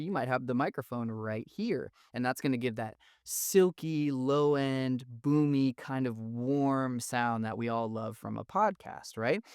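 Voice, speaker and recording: A man explaining recording gear in a casual, enthusiastic teaching style, with a thin, mid-range voice and relaxed, slangy phrasing. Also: medium pitch, dry recording. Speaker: audio engineering instructor. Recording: dry, close studio sound.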